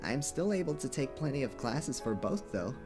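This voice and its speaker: A considerate young male student in a cartoon , acted by a man. He speaks earnestly and politely with a very varied pitch. Music is clearly audible behind him. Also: big pitch swings, normal speed, close to an everyday voice. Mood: earnest, grateful. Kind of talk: animated cartoon fandub.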